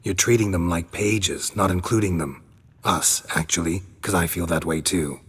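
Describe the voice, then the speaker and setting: A man voicing a gruff, wry middle-aged monster hunter in a video game, with a husky, gravelly voice and a dry, laconic delivery. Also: deep voice, fairly even intonation, moderate pace, a bit bright, long reverb tail. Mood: dry, guarded.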